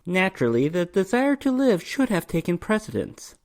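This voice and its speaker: A man's voice: high voice, very animated, moderate pace. A man narrating a fantasy horror short story as a dramatic reading, with theatrical, emphatic delivery.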